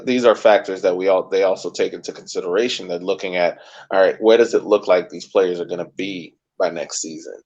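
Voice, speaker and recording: A man co-hosting a sports podcast, talking casually and thinking aloud in measured, careful points. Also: deep voice, darkish tone. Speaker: podcast co-host. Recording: dull lo-fi podcast audio.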